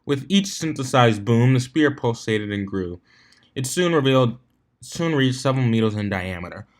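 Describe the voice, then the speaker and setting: A man reading fan fiction aloud as an amateur narrator, expressive with the odd stumble. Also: mid-range voice, normal speed. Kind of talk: amateur scripted story narration.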